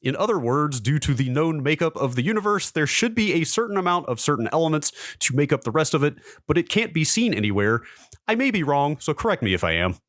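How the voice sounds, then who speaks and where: A man in his forties chatting casually as a podcast co-host and reading old posts aloud in a wry, halting, self-deprecating way. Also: on the high side, sing-song, a bit bright, no room sound, studio quality. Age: 40s.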